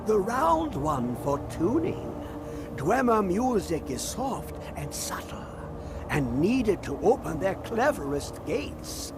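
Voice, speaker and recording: An actor playing a mad, rambling old hermit scholar in a fantasy video game, singsong, erratic and cryptic. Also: male voice, high-pitched, big pitch swings, slow, over music, full character voice. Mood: hushed, obsessive. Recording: clear background game ambience noise.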